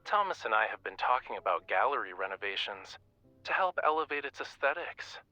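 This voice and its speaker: Teasing young artist, crisp bright voice. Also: male voice, mid-range voice, big pitch swings, brisk, faint music behind, low-quality recording. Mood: breezy, confident. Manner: casual, lazily teasing. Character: playful, self-assured young male artist, languidly fussy. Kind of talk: video game romance voice acting.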